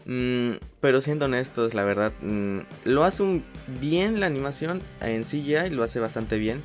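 A man's voice: medium pitch, lively intonation, measured pace. A man giving a casual anime review as a voice-over, chatty and full of fillers. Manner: chatty, frequent filler "pues", restarts. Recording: voice-over with faint music behind.

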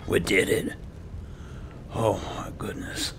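Man, game roleplay commentary, heavy gravelly fry, breathy murmur, musing, noticeable noise and ambience behind. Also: low-pitched, fairly even intonation, slow. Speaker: gaming roleplay host.

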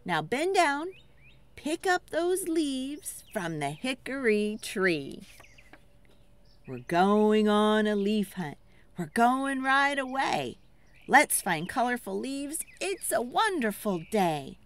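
Animated high-pitched woman reading a children's story. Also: slow. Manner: theatrical and expressive, urging listeners to join in. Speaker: children's read-aloud storyteller. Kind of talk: dramatic, rhythmic picture-book read-aloud.

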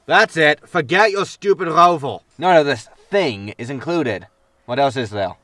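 A man's voice: fairly high pitch, lively intonation, a little slow, sharp tone, dry recording, distant mic. An actor playing a grumpy, sarcastic male spaceship captain in a sci-fi comedy audio drama, with a dry manner. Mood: dry, annoyed. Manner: dry, sardonic.

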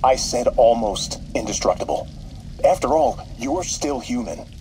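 An actor playing an uneasy, defensive technician working for criminals in a video game. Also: male voice, mid-range voice, animated, unhurried, warm tone, a little hiss or hum, close to an everyday voice, low-quality recording.

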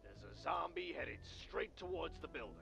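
A man voicing a very creaky, pushy cartoon schemer. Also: on the high side, very animated, moderate pace, a bit bright, soft background music, exaggerated voice. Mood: urgent. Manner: pushy, sarcastic. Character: bossy, impatient male cartoon animal schemer guiding from afar. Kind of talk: cartoon game voice acting.

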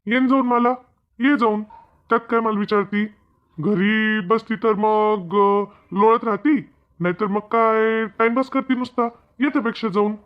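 An easygoing village husband from an animated comedy, voiced by a man. He talks in a homely, questioning way with a rural Maharashtrian Marathi accent. The audio is muffled and lo-fi. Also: high voice, unhurried, smooth. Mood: easygoing, teasing. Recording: dull, lo-fi audio.

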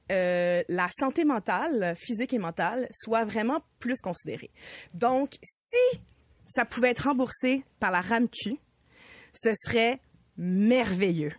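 A woman from Quebec, an animated radio guest who talks with enthusiasm and drops in English phrases. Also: on the high side, no echo. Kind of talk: casual radio interview.

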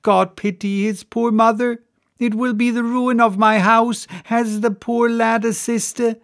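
A man voicing a fussy, bossy middle-aged woman innkeeper in an audiobook, with shrill, scolding exclamations. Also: little pitch movement, measured pace, fairly bright tone. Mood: fretful. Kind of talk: audiobook character voice.

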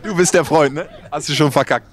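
A man's voice: fairly high pitch, brisk. A man in his thirties doing casual, teasing street interviews with a handheld microphone outdoors. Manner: joking, teasing. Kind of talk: casual vox-pop interview. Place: outdoor city shopping street. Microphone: handheld reporter microphone.

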